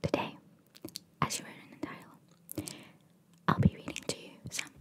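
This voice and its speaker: A woman whispering very close to the microphone as an ASMR host, reading trivia aloud with casual, rambling asides and softly repeated phrases. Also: moderate pace. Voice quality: breathy unvoiced whisper. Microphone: very close microphone, cupped by hand.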